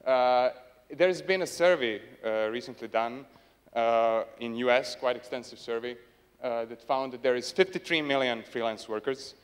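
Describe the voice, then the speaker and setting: A man's voice: medium pitch, moderate pace, clean tone. A young man presenting on a conference stage with a handheld mic, dryly humorous and hesitant, with plenty of fillers. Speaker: conference speaker. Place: large conference hall stage. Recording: long hall reverb.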